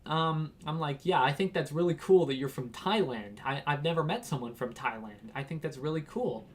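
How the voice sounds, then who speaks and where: A man's voice: a little high, moderate pace, a little hiss or hum. A young man from Washington telling a casual, rambling story, laughing and acting out quotes. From Washington, United States. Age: young adult. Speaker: vlogger.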